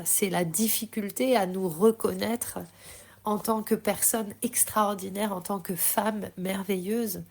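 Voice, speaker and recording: A woman with a French accent hosting a podcast, sharing a slow, intimate, reflective spiritual monologue. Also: mid-range voice. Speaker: podcast host.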